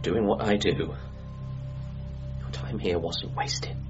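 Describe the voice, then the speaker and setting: An actor playing an earnest, grieving grown son who speaks sincerely, haltingly and wryly in a radio comedy drama. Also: male voice, on the low side, fairly even intonation. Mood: heartfelt, sad. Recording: muffled broadcast with music underneath.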